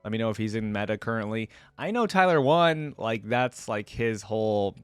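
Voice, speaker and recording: A man's voice: fairly low pitch, expressive, moderate pace, smooth, no echo. A man hosting a reaction video, reading aloud and commenting in a casual, conversational way.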